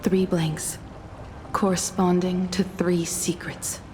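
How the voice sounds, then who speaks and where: An actress playing a solemn, defiant woman in a video game, speaking in a measured, grave, accusing way. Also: fairly low pitch, level tone, darkish tone, long reverb tail, faint music behind. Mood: cold, accusing.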